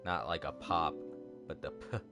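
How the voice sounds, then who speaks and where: A sleepy man chatting casually on a livestream, rambling and halting with many fillers, self-deprecating. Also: a bass voice, fairly even intonation, loud music behind the voice. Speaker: streamer. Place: home room with a TV. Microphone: cardioid desk microphone.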